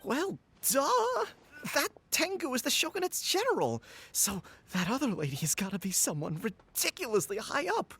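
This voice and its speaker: A man voicing an excitable, nervous young gang underling in a video game, blustering and stammering. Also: extremely high pitch, normal speed, slight background noise, exaggerated voice. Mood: emphatic.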